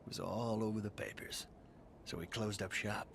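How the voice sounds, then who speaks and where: Bitter tycoon's son, lowish voice, dry, sardonic, weary, video game cutscene, noisy recording. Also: male voice, steady tone, moderate pace, gravelly, a bit bright. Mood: bitter, haunted.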